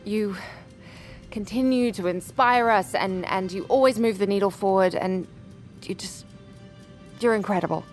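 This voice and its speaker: An actress playing a gracious, admiring woman at a dinner party in an audio drama, informal and halting. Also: mid-range voice, soft background music, close to an everyday voice. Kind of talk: modern audio drama acting.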